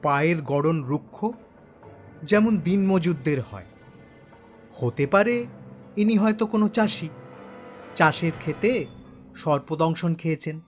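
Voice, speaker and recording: High, animated male narrator, slow and suspenseful. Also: faint music behind. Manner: suspenseful, dramatic pauses. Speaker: story narrator. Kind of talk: dramatic scripted first-person horror narration.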